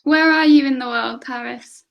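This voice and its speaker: A woman with a high, light voice chats as an online tutor over a video call. She fires off question after question and laughs often. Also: steady tone, normal speed, vocal fry, dull audio. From England. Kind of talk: casual conversation lesson.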